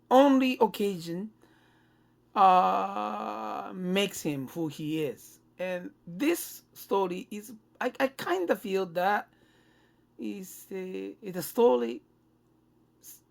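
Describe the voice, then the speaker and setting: Gravelly-voiced woman, slow and halting. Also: mid-range voice, lively intonation. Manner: halting, often seeking agreement with tag questions. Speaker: podcast co-host. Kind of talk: conversational discussion.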